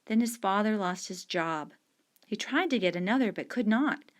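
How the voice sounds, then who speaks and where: A woman reading a short story aloud in a steady, unhurried storytelling manner. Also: medium pitch. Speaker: story reader.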